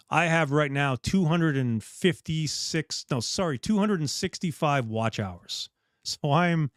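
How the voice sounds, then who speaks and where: A man's voice: on the high side, no room sound, clean studio sound. A middle-aged man from the Bahamas hosting a casual live chat, talking in a rambling, candid way with restarts. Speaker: dive shop host.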